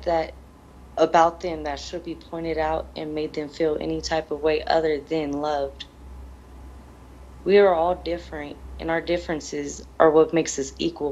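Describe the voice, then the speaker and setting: A woman's voice: sits low, creaky, darkish tone, rough recording. A grieving woman answering interview questions in an earnest, emotional, plain-spoken way, often repeating her phrases. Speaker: bereaved mother being interviewed.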